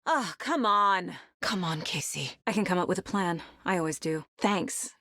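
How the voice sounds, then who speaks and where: A woman voice actress playing a determined, guarded female investigator in a video game, speaking in a measured, serious, naturalistic way. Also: lowish voice, bright, forceful tone, dry recording, studio quality. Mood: businesslike, focused. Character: determined, sharp female FBI investigator, guarded yet driven.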